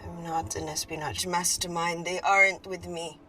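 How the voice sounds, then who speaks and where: An actress playing a cryptic, wry captive woman, speaking in a halting, evasive way in a dramatic style. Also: fairly low pitch, level tone, pushed and bright, faint music behind. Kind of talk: naturalistic dramatic screen acting.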